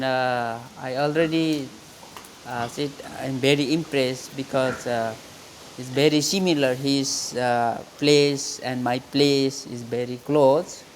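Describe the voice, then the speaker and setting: A man's voice: mid-range voice, a little hiss or hum, rough recording. A man from eastern Nepal with a Nepali accent, speaking as a farming trainee in a documentary interview.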